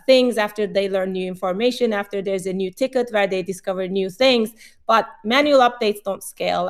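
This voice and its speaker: A woman in her forties from Turkey with a Turkish accent, a company CEO explaining her views in a webinar panel with frequent "uh" fillers. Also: mid-range voice.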